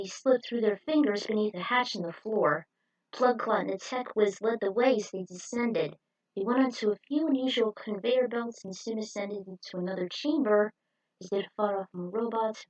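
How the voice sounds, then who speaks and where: A woman's voice: medium pitch, normal speed, a little mellow, no room sound. A woman reading an adventure story aloud as an amateur narrator, on a lo-fi home recording. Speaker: podfic narrator. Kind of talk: amateur storytelling read from a script.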